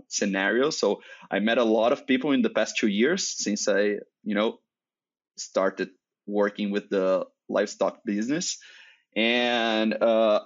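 Brazilian man hosting, slightly muffled. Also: fairly low pitch. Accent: Brazilian Portuguese. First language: Portuguese. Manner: measured, with frequent "uh" fillers. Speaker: podcast host. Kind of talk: semi-scripted podcast intro and interview.

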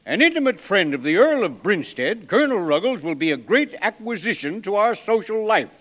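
A man's voice: high-pitched, big pitch swings, a little fast, fairly bright tone, full character voice. An actor playing a brash, folksy Western townsman in 1940s radio drama, with a declamatory way of talking. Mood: relishing, declamatory. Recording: muffled vintage 1940s radio broadcast.

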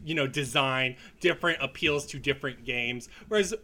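A man's voice: mid-range voice, normal speed, sharp tone. A man co-hosting a casual film podcast, blunt and opinionated, swearing casually as he talks. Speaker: podcast co-host.

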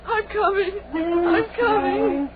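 A woman's voice: extremely high pitch, takes it slowly. An actress playing a frightened, pleading young woman in 1930s radio horror, melodramatic and given to repeating herself, on a muffled, noisy old recording. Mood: desperate, tearful.

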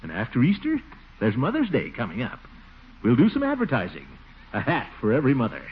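Blustery middle-aged american big shot, old radio comedy, swoops way up and down, bit muffled. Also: male voice, medium pitch, wildly swooping pitch, brisk, breathy edge. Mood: boastful, enthusiastic. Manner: theatrical, swooping exclamations and chuckles. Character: pompous, blustering, excitable middle-aged small-town businessman. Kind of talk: 1940s radio comedy acting. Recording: muffled vintage 1940s radio broadcast.

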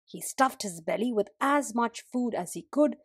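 A woman telling a folktale as expressive scripted narration for a storytelling podcast. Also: on the high side, normal speed, breathy edge, dry recording, studio quality.

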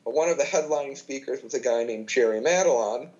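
A podcast guest in his twenties talks in a chatty, casual way, full of fillers and false starts. His voice sits at a middling pitch and comes through slightly muffled, lo-fi audio. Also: fast talker, a little mellow. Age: 20s. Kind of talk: casual chat.

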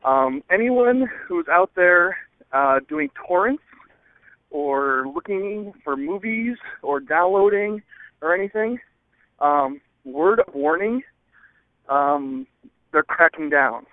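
A man caller leaving a casual, rambling voicemail in hesitant, halting phrases over a phone line. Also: high voice, lively intonation, dry recording. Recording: thin, dry phone line.

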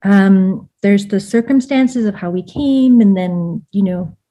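A woman from Vietnam, an author talking through her thoughts in a relaxed interview, with lots of fillers and restarts. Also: medium pitch, slow pace. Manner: reflective, many fillers and restarts, laughs often. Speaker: author and guest. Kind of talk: casual interview conversation.

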